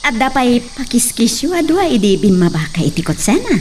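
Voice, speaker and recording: A woman's voice: on the high side, sing-song, brisk, darkish tone, recorded from a distance, slight background noise. A woman playing a teasing, sing-song best friend. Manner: teasing, mixes English phrases. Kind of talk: melodrama acting.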